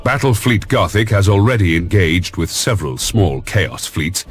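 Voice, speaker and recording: A commanding Lord Admiral of a space navy in a game cutscene. The voice is deep and grave, with a measured, formal delivery. Faint music runs underneath. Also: male voice, expressive. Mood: grave, authoritative. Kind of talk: dramatic sci-fi game cutscene acting.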